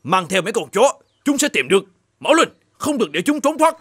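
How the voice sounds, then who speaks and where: A man's voice: high voice, very animated, fairly quick, fairly bright tone, a little hiss or hum. An actor playing a hot-tempered, domineering middle-aged innkeeper and vengeful father in a cartoon folk tale, blustering and threatening. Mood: furious, threatening.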